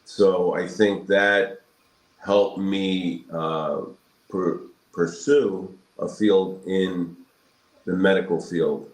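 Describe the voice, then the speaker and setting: Very deep, slow-talking man in his sixties. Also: steady tone. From Brooklyn, New York, United States. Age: 60s. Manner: measured, halting, pauses between phrases. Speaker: guest, periodontal surgeon. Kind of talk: interview answers, reflective. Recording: roomy echoing sound.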